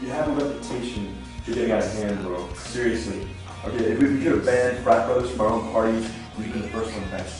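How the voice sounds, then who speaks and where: A man plays a slick gentlemen's club partner in a drama table read. His voice is mid-pitched and sounds distant in a very echoey room, with music behind. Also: quick pace, close to an everyday voice. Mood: firm, exasperated. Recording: roomy echo, distant mic, music behind.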